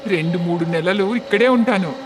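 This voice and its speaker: Man acting cocky high-pitched young city guy. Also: moderate pace, music in the background, natural voice. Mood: breezy, cocky.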